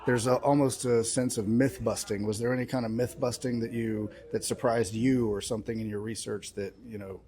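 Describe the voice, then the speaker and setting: A man's voice: mid-range voice, flat delivery, a little fast, few pauses, warm tone. Guy doing a casual interview at an event, mid-range voice, upbeat and appreciative.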